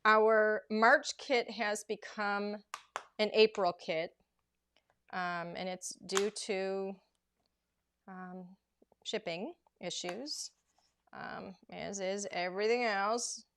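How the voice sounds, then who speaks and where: A woman hosting a live crafting demonstration, chatty and rambling with plenty of filler words, her voice going hoarse as she loses it. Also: mid-range voice, steady tone, drawn-out words, pauses often, fairly bright tone, a little hiss or hum. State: losing her voice. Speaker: craft show host.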